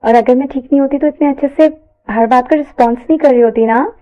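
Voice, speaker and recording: A woman's voice: high-pitched, quick pace, mellow, highs cut off, close to an everyday voice. A woman voicing a bashful but spirited young college woman in an audio story, sprinkling in English words. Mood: reassuring. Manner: stammering when flustered, mixes in English words. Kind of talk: audio story character dialogue.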